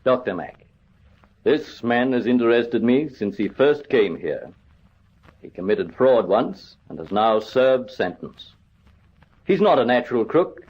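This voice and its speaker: An actor playing an earnest, well-meaning prison governor and colonel in an old radio drama, formal and deliberate. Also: male voice, mid-range voice, very animated, breathy edge. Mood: measured, sincere. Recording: dull old radio recording.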